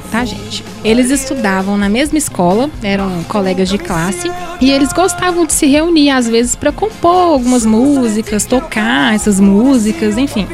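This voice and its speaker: A woman with a Brazilian Portuguese accent co-presenting a radio show in a playful, chatty way with jokey asides. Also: on the high side. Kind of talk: casual radio chat.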